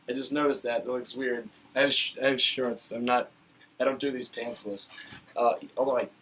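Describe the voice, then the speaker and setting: A man rambles through a casual video review, full of fillers and asides, and gets heated when he argues a point. His voice is bright and tense, at middle pitch. He sits a little back from the mic, with a faint hiss underneath. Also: distant mic, rough recording. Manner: rambling and chatty with many fillers, gets worked up. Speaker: YouTube vlogger reviewing cartoon episodes.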